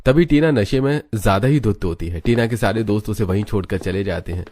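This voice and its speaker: A man's voice: lowish voice, brisk, darkish tone. A man narrating a cartoon story in Hindi from a script.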